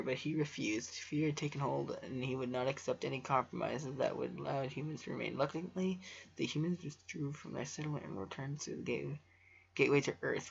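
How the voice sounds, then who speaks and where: Guy with a gravelly voice reading stuff out casually, trips on words, muffled lo-fi sound. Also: a little high. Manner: stumbling over words, breaking off for offhand asides. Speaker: amateur fan fiction reader and video creator. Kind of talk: casual story reading with joking asides. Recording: dull lo-fi home recording.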